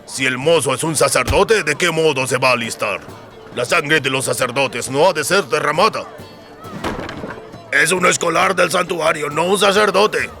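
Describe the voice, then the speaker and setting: A man voicing gruff, sardonic dwarf soldiers in a fantasy audiobook, blunt and given to swearing. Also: on the high side, normal speed, sharp tone, soft background music. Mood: scornful. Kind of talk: dramatised fantasy audiobook dialogue.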